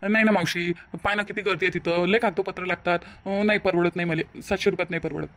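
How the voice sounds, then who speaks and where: Woman as a fast-talking sly young con man. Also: lowish voice, fairly even intonation, natural voice. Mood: haggling. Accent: rural Vidarbha Marathi. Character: sly young male con man, persuasive, falsely reassuring. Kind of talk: Marathi cartoon moral-story dialogue.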